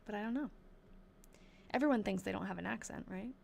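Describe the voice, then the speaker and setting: A young woman with a New England accent, chatting casually on a live stream as she reads an old diary aloud slowly and thinks out loud. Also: mid-range voice, creaky, slight background noise. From New Hampshire. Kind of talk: casual livestream chat and slow diary reading.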